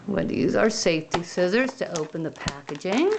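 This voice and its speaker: A woman hosting a toy unboxing video, gently showing and naming each item in a sing-song way. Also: lowish voice, expressive, creaky, noisy background. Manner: slow, gentle, sing-song show-and-tell.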